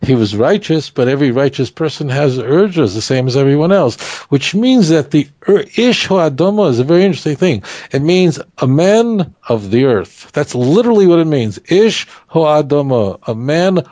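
Mellow-voiced Hebrew-native man teaching scripture. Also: a little high, normal speed, no room sound. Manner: animated, restarts and stumbles often. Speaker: Torah teacher. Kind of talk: conversational Bible study.

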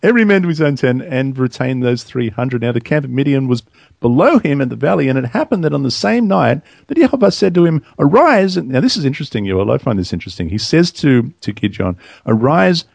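A man's voice: mid-range voice, very animated, fairly quick, creaky, darkish tone, no echo. A man reading Bible verses aloud and commenting on them in a casual study discussion. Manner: reads verses aloud, then comments conversationally.

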